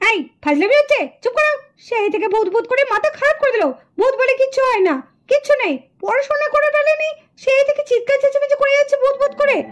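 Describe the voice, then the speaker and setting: A woman playing a strict female schoolteacher who scolds her pupils briskly. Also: very high voice. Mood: scolding.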